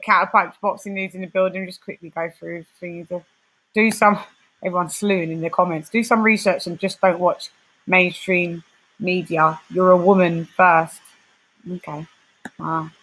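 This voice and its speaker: A woman's voice: on the low side, fairly even intonation, moderate pace, bright, forceful tone, low-quality recording. A woman with a London accent, hosting a casual livestream chat and reading out viewers' comments. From West London. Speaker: livestream host.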